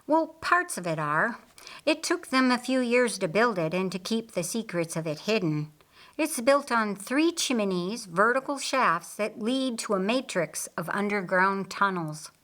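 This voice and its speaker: A woman voicing a kindly, reflective master of a house in an audiobook story, earnest and deliberate. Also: medium pitch, big pitch swings, fairly bright tone. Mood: earnest, recounting.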